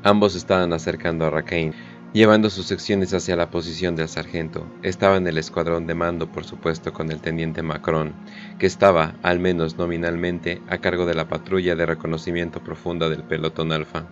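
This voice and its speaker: A man's voice: low-pitched, little pitch movement, brisk, lo-fi sound, faint music behind. A man narrating a scripted war story. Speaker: audiodrama narrator.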